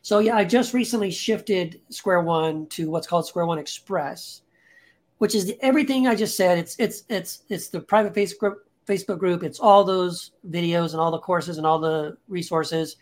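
A man, a podcast guest and author, talking casually and earnestly in an interview, often restarting his sentences, into a podcast microphone. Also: sits high. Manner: earnest, reflective, speaks in halting restarts.

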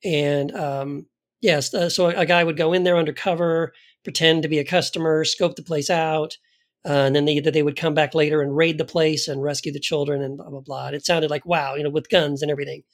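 A man's voice: on the high side, flat delivery, fairly quick. A middle-aged man hosting a casual interview, talking in a rambling way and circling around his points. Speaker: interviewer, host.

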